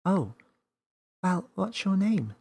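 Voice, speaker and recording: Man voicing a high, earnest little girl. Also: very animated, takes it slowly, creaky, darkish tone, slightly rough, exaggerated voice. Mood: curious. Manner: questioning, earnest. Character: seven-year-old girl, curious, easily frustrated, wide-eyed.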